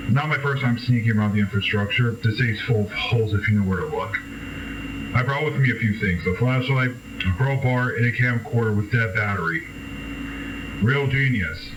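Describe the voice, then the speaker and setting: A man playing a scared, bitter nineteen-year-old street kid confessing in a horror monologue, halting and grave, with swearing and dramatic pauses. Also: mid-range voice, close to an everyday voice. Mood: wry, tense. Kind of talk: found-recording horror monologue acting. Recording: noisy, distant, muffled amateur radio-like tape.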